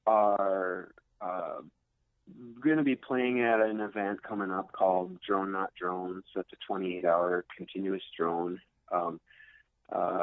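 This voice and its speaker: Low-voiced man on a tinny phone-like line. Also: dry recording. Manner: hesitant, unassuming. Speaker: rock guitarist being interviewed. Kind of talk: casual interview chat.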